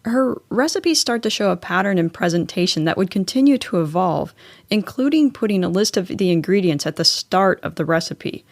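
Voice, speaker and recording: A woman's voice: on the low side, animated, normal speed, vocal fry, dry recording, studio quality. An American woman hosting a solo podcast, narrating in a chatty, scripted style with wry, informal asides. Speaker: podcast host.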